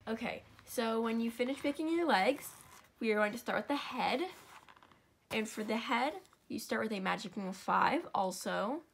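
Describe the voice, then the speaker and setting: A young woman with a congested, stuffy nose walks viewers through a crafting project step by step. Her talk is chatty and rambling, full of asides and quick self-corrections, with the easy tone of someone filming at home. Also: on the high side, gravelly, slightly rough. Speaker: craft tutorial host.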